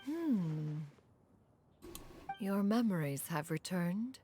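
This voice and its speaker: A woman voicing a dignified ancient crane adeptus in a video game, with stately, measured and formal speech. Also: fairly low pitch, expressive, warm tone, a little hiss or hum. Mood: solemn. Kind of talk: scripted video game voice acting.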